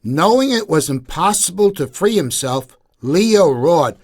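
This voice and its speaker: A man's voice: on the high side, very animated, measured pace. A man narrating a scripted children's story for English learners.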